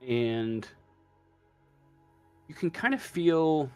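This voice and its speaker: A man running a tabletop game as game master, improvising the narration haltingly as he thinks aloud. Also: fairly high pitch, big pitch swings, smooth, soft background music.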